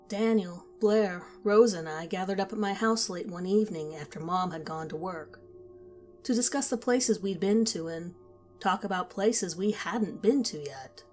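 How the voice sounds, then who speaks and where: Woman, horror story narrator, mid pitch, quiet measured telling, soft music underneath.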